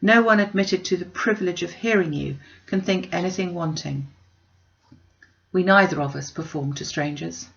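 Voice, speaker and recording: Woman reading as a reserved, low-voiced gentleman. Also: vocal fry, muffled recording. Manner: measured, formal, understated. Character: reserved, proud, courteous young gentleman. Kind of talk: storybook reading.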